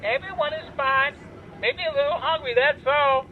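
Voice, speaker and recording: Very high-pitched polite teddy bear. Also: male voice, normal speed, some chatter behind. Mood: polite, friendly. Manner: courteous, naive. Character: polite, earnest living teddy bear leading hostage takers. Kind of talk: audio drama acting. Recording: tinny phone line with background noise.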